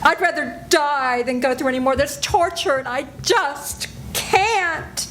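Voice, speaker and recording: A woman's voice: sits high, measured pace, a bit bright, slight background noise. An older woman playing a terrified woman who hides a guilty secret, talking frantically and pleading in an old-time radio drama performed live. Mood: desperate. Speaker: senior theater performer.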